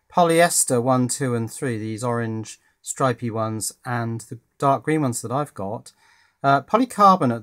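A British man in middle age with a mid-pitched voice talks casually through hands-on work. He thinks aloud as he goes, pausing and hesitating like a hobbyist presenting at his own workbench. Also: a bit bright. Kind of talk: casual hands-on project narration. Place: indoors at a workbench.

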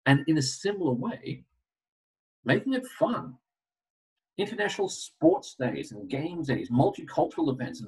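A middle-aged man giving a webinar presentation on a video call, telling stories with lively asides. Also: medium pitch, breathy edge. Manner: animated storytelling with asides. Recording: distant video call audio.

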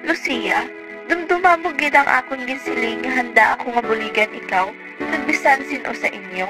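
A woman's voice: a little high, moderate pace, natural voice, poor audio. A woman playing a caring, reassuring teacher in an amateur radio drama. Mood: concerned, reassuring. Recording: music behind the voice.

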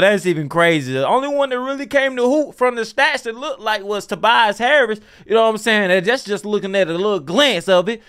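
A man's voice: sits high, a bit bright, clear, resonant tone. A young American man giving casual, slangy reaction commentary, repeating phrases in disbelief into a close studio microphone. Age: young adult. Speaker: reaction streamer. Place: small home studio room. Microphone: close boom studio microphone.

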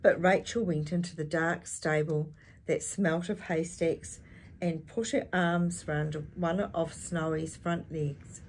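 An older woman with a husky voice reading a picture book expressively to young listeners, adding chatty asides and animated tag questions. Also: low-pitched, takes it slowly, a little hiss or hum, rough recording. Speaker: children's storytime reader. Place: small indoor space with curtain backdrop.

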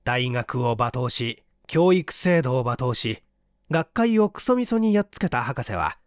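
A man reading a story aloud as an audiobook narrator, in an expressive scripted delivery. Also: medium pitch, unhurried, no room sound, clean studio sound.

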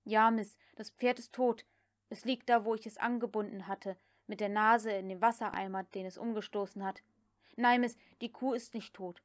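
A woman's voice: medium pitch, fairly even intonation, moderate pace, lightly acted. A woman voicing a deferential, anxious male house slave in an audiobook, proud but tactful, with a plaintive way of protesting. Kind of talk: audiobook character dialogue.

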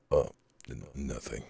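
Man voicing a very deep, gravelly, gentle dragon. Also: slight background noise, heavily acted. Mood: wistful, shy. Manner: hesitant, halting, intimate. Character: shy, caring male dragon guarding eggs, wistful. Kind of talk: ASMR audio roleplay.

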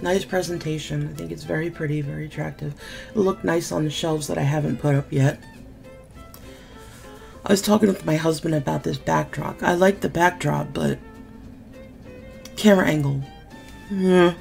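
Low gravelly-voiced sick woman vlogging. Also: steady tone, moderate pace, long pauses, darkish tone, faint music behind. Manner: chatty, candid, mildly sarcastic. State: sick, losing her voice, deep cough. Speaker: beauty vlogger. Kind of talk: casual product unboxing chat. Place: small room at home, indoors.

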